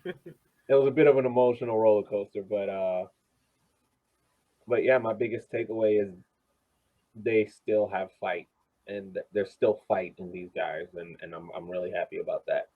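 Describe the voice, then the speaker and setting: A man chatting casually as co-host of a soccer fan podcast over a narrow phone-like connection, halting and repeating words as he thinks aloud. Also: lowish voice, steady tone, husky.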